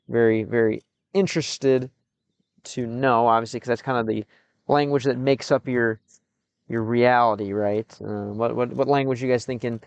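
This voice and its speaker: A young American man chatting with his stream viewers, switching between English and halting Russian, pausing often as he reads the chat. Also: medium pitch, steady tone, gravelly, clean tone. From United States.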